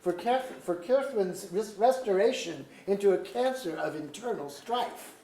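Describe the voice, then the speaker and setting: Woman as ambitious lord, commanding, mid-pitched, formal archaic stage speech, echoey room. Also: animated, moderate pace, mellow, slight background noise, natural voice. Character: ambitious, imperious noble lord deposing the monarch. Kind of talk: staged play reading. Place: museum auditorium. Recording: echoey auditorium.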